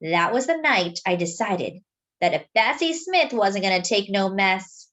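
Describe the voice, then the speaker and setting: A woman reading a children's story aloud in an expressive, dramatic, deliberate way, as a storyteller speaking to young listeners. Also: mid-range voice.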